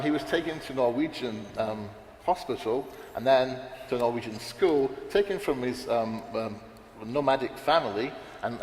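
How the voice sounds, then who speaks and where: Man, panel speaker, rambling with restarts, echoey hall, long reverb. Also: medium pitch. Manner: rambling, many restarts and fillers. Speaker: panelist at a writers' conference. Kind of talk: unscripted panel discussion. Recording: roomy hall reverb, faint background noise.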